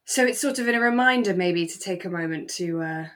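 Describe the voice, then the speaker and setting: A woman co-hosting a podcast, chatting casually in a reflective, gently hedging and agreeable way. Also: lowish voice, a little fast. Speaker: podcast co-host. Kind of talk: relaxed casual chat about a radio drama.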